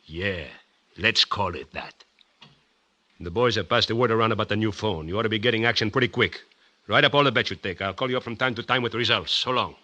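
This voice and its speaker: Tough bookie gangster, very sharp forceful voice, lowish, curt and bossy, old radio crime show. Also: male voice, fast, lo-fi sound. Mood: menacing. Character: menacing, possessive small-town bookie boss. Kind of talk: 1940s radio crime drama acting. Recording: vintage 1940s radio recording.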